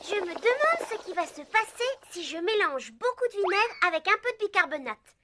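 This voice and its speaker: A woman voicing an excitable young cartoon schoolchild keen on experiments, with music underneath. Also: very high-pitched, fairly quick, full character voice. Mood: curious. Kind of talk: cartoon voice acting, French dub.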